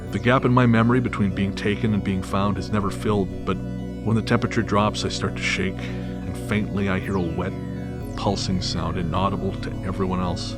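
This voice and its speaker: Deep-voiced man narrating horror over music. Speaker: podcast host and horror story narrator. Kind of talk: dramatic scripted horror narration, then podcast hosting. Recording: music bed behind the voice. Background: loud music.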